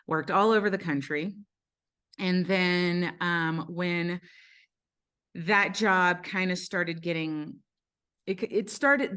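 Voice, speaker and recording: Woman, low voice, Brazilian-born guest, candid and searching, many hesitations. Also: fairly bright tone. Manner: earnest, with frequent ums and self-corrections. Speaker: podcast guest. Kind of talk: candid personal storytelling.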